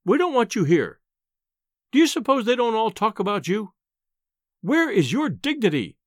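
A man's voice: very high-pitched, huge pitch swings, slow pace, no room sound, clean studio sound, voice pushed up, full character voice. A man voicing a small, furious, indignant wife in an audiobook, screaming and wailing through her scolding. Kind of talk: audiobook character acting.

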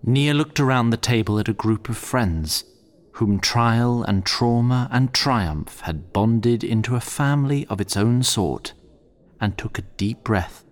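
A man's voice: medium pitch, expressive, measured pace. A man narrating a fantasy audio drama in a scripted storytelling style.

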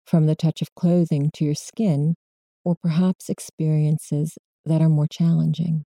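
A woman guides a meditation in a low, very mellow and soft-edged voice. She gives gentle, measured instructions in the manner of a mindfulness teacher. The sound is close and dry, with no room around it. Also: no room sound.

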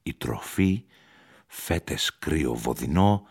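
A man narrating a literary short story for radio in a measured, deliberate manner. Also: rumbling low, expressive, a little mellow, husky. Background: music.

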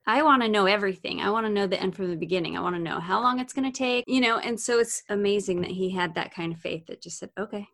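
A woman hosting a casual faith discussion, talking in an animated way and asking questions. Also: medium pitch, fast talker, hardly any pauses.

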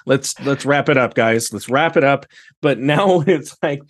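Male guest, casual and halting, feeling his way through sentences. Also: mid-range voice. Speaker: podcast guest, theatre director. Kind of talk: casual chat.

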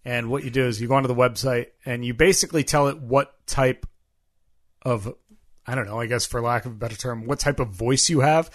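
A man's voice: mid-range voice, fairly even intonation, fairly quick. A man co-hosting a podcast in a casual, joking and self-deprecating chat style. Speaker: podcast co-host.

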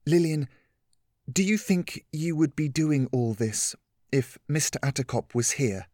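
A man reading a story, voicing a caring adult woman who talks softly and patiently. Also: fairly high pitch, lively intonation, measured pace, no echo, clean studio sound, pitched above the performer's own voice. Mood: gentle questioning. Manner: soft, patient, comforting. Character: gentle caring adult woman, calm and reassuring.

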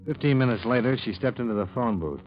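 A man's voice: mid-range voice, expressive, quick pace, lightly acted. An actor playing a weary, hard-boiled insurance investigator in a 1950s radio drama, terse and dry, narrating his case. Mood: dry narration. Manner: terse, dry, matter-of-fact. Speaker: lead actor and narrator of a detective serial. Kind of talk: 1950s radio detective acting, clipped narration. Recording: muffled old radio recording, music behind.

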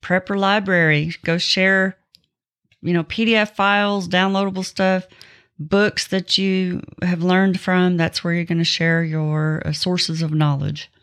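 A woman's voice: fairly low pitch, flat delivery, a little slow, fairly bright tone, smooth. A woman co-hosting a podcast, chatting informally as she shares announcements with listeners. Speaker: podcast co-host. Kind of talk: casual podcast chat with announcements.

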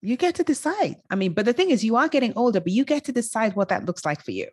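A woman's voice: a little high, sing-song, husky, dry recording, studio quality. A woman in her fifties, a life coach, talking enthusiastically as a guest in an interview and laughing easily. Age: 50s. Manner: enthusiastic, quick to laugh, emphatic. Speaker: guest, life coach for women over fifty. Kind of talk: interview conversation.

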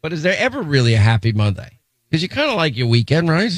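Brash, quick-joking male radio host. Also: a little high, sing-song, dry recording. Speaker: radio talk show host. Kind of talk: talk radio banter and live read ad.

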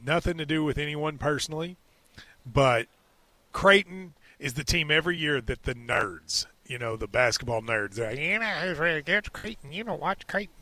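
Bright, high-voiced man ranting about sports. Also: expressive, moderate pace, no room sound. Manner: ranting, mocking, exasperated. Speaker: sports podcast commentator. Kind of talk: casual sports banter.

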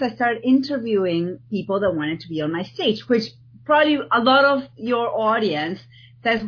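Animated Argentine woman, husky voice. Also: on the high side, lo-fi sound. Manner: animated, restarts sentences, laughs often. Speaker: guest, TEDx organizer. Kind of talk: podcast interview.

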